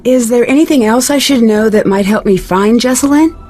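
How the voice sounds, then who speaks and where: Female actor, young woman detective, game dialogue, calm, direct, inquisitive, slightly high voice, faint music. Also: normal speed, close to an everyday voice. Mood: probing. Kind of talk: video game character dialogue.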